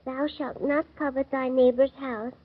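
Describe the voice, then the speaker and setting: A girl slowly reciting scripture. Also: sits high, steady tone. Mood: solemn. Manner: slow, solemn recitation. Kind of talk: 1930s radio drama acting. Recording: dull old radio broadcast.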